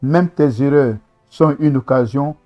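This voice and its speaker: A man's voice: medium pitch, measured pace, lo-fi sound, faint music behind. A man hosting a reaction video, commenting on gospel singers with enthusiastic, preacherly remarks. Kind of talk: casual commentary on a talent show.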